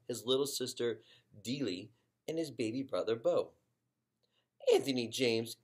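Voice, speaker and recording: A man, a teacher, reading a children's chapter book aloud in an expressive storytelling way. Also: mid-range voice.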